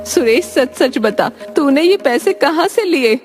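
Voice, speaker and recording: A woman's voice: high-pitched, animated, a little slow, darkish tone, big-room sound, voice pushed up. A woman voicing a stern, questioning village mother in a cartoon story. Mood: stern, suspicious. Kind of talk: animated Hindi moral-story cartoon acting. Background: faint music.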